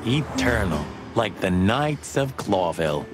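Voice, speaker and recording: An actor playing a cocky rooster detective sidekick in a film noir game, sardonic and playful. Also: male voice, on the low side, sing-song, unhurried. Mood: teasing. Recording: music behind the voice.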